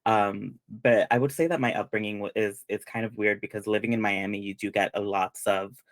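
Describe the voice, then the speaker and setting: Chatty young man hosting a panel, low voice. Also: steady tone, a little fast, no room sound. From Peru. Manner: chatty, many fillers and restarts. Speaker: panel host. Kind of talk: casual panel chat.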